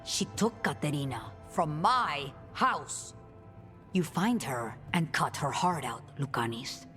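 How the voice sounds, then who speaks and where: A commanding woman of a fantasy crime house. Her voice is low with lively swings, set in a reverberant hall with faint music. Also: takes it slowly, full character voice. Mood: commanding, vengeful.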